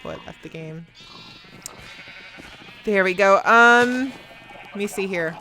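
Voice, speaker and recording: A young woman hosting a video, talking loud and fast in casual chat as she answers viewer questions while playing a game. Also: mid-range voice, slight background noise, low-quality recording. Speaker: YouTuber host.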